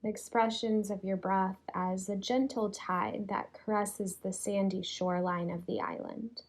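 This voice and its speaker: A woman leading a guided yoga relaxation in a soothing, unhurried way, leaving long pauses between phrases. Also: medium pitch, steady tone. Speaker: yoga nidra teacher.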